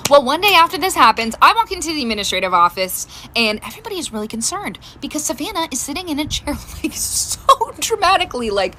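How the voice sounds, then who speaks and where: A middle-aged woman telling a casual personal story in an animated way, acting out the dialogue as she goes. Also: high-pitched, quick pace, hardly any pauses, slight background noise. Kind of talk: casual social-media storytime.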